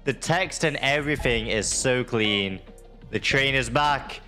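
A man's voice: mid-range voice, unhurried, pushed and bright, soft background music. A young man giving casual, slangy, exclamatory reaction commentary as host of a gaming video. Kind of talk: casual reaction commentary over game footage.

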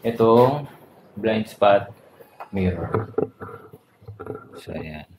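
A man's voice: on the low side, lively intonation, extremely slow. A man with a Filipino accent hosting a casual vlog, talking conversationally with frequent little tag words. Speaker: motovlogger and channel host. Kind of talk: casual vlog product rundown. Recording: roomy echo, clear background noise.

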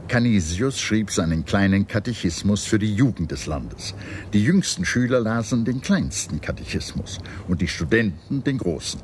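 Low-voiced man narrating history. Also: animated, moderate pace, slight background noise. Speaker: documentary narrator.

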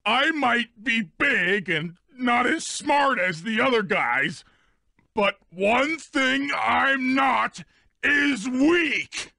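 A man's voice: very high voice, animated, extremely slow, creaky, pushed and bright, no echo, heavily acted. A man playing a big, dim but loyal cartoon hippo strongman in a video game, boastful and childlike, with bombastic delivery. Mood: heroic boasting. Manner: bombastic, speaks of himself in third person.

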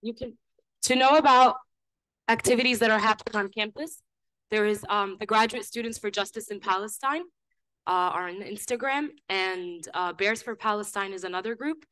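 A young woman, a student activist, speaking loudly and insistently to a class without a script, often restarting her sentences. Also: medium pitch, moderate pace, poor audio. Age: young adult. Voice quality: loud voice. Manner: impassioned, insistent, with frequent restarts. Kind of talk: impromptu talk to a class. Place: lecture hall.

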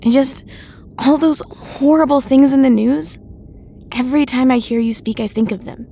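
Brief: actress as an uneasy teen girl, high, soft-toned voice, hesitant, audio drama. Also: slight background noise. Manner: halting, uneasy speech. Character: troubled teenage girl, uneasy and guarded.

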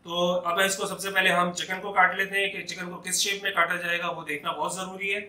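A man with a high, bright voice walks through a recipe step by step, patiently explaining each cut. He talks while chopping in a home kitchen, and the room sounds echoey. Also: level tone, brisk. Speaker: home cooking channel host. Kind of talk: step-by-step cooking demonstration.

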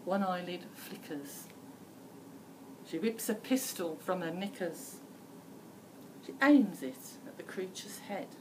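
Slow, expressive woman reading a story. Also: fairly low pitch. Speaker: primary school teacher. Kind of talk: dramatic children's story reading. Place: school library indoors. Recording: distant mic, faint room noise.

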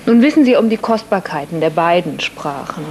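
A woman in her thirties interviewing a guest in a TV studio, asking articulate, measured questions. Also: on the low side, animated, a little mellow, slight background noise.

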